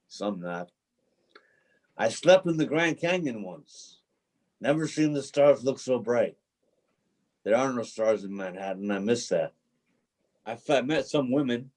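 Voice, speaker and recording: A man's voice: medium pitch, a little mellow, lightly acted. A man playing a homeless drifter in a play reading, a cynical, bruised romantic who tells his story in a folksy, dramatic way. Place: remote video call reading.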